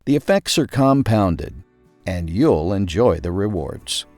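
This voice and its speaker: A man reading a scripted magazine article aloud in a measured, clearly emphasized narrator's delivery. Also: low-pitched, very animated, a little mellow, no echo, soft background music.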